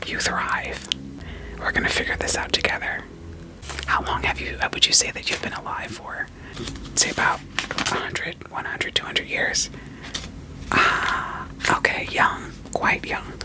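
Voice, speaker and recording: A person whispering an ASMR roleplay as a doting, quirky therapist, soothing and reassuring with gentle questions. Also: extremely low pitch, huge pitch swings, unhurried, pauses often, poor audio. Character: doting, quirky therapist evaluating a vampire client.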